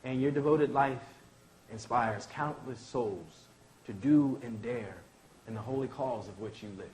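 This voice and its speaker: A man's voice: mid-range voice, unhurried, darkish tone, a little hiss or hum, close to an everyday voice, low-quality recording. A man reading the part of an earnest, idealistic lawyer in a staged play, with a declamatory, oratorical delivery. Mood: solemn, eulogizing. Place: indoor launch event venue.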